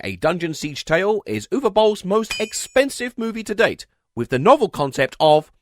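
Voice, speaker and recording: A man's voice: high voice, no echo, studio quality. A man delivering a scripted comedic film critique as a voice-over, sarcastic, emphatic, exasperated and sweary. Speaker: video essayist, film reviewer.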